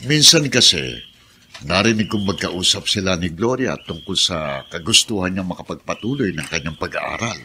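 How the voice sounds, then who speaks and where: A man playing a good-natured older plantation worker in a Filipino radio drama, folksy and unhurried. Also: low-pitched, expressive. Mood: approving.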